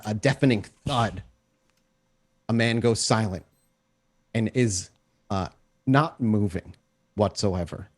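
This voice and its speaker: A man running a tabletop role-playing game as game master, narrating scenes in descriptive, cinematic detail. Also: mid-range voice.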